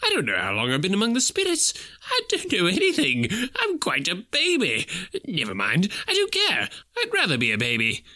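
A man voicing an old miser turned overjoyed, with high, excitable exclamations. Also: normal speed, hardly any pauses, exaggerated voice. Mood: eager. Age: older.

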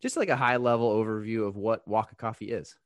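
Low-voiced upbeat podcast host man. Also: fairly even intonation, fast talker, no echo. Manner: upbeat, loose, with "you know" and "uh" fillers. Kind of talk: casual podcast hosting and sponsor read.

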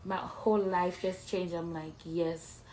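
A woman's voice: on the low side, measured pace, very noisy. A woman, co-hosting a podcast in casual chat and telling stories playfully. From Jamaica. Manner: animated storyteller, playful. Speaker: podcast co-host.

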